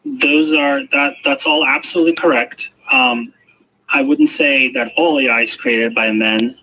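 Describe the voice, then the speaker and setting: A man's voice: medium pitch, a little slow, pushed and bright. A man giving emphatic explanations in an interview as an expert, often repeating phrases for emphasis. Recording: muffled, noisy radio-like sound.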